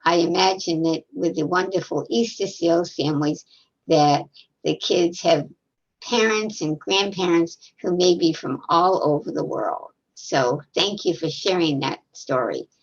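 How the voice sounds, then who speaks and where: A woman hosts a storytime session over a video call, her pitch sitting a little low. She speaks in a gracious, conversational way as she introduces the next readers. The audio is muffled and dull, as over a video call. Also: measured pace, slightly rough. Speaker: storytime host.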